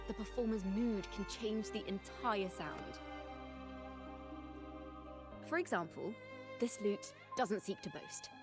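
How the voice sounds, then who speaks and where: A young female musician-guide speaks in a medium-pitched voice. She is gentle, poetic and thoughtful, in polished game dialogue laid over clearly audible music. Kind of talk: video game voice acting.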